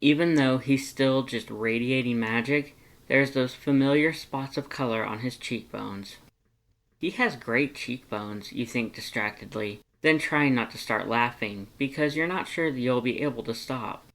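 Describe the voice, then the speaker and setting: A narrator reading fan fiction aloud in an expressive storytelling style. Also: medium pitch, a little slow, low-quality recording.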